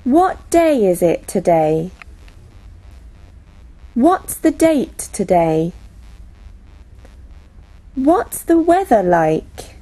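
A woman slowly reading out classroom phrases for teachers, each phrase spoken separately. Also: on the high side, dark tone, rough recording. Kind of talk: slow, clearly spoken classroom English read aloud.

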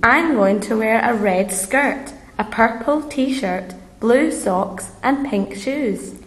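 A woman playing a cheerful ten-year-old girl in a scripted English lesson dialogue, speaking in a deliberate way. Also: fairly high pitch.

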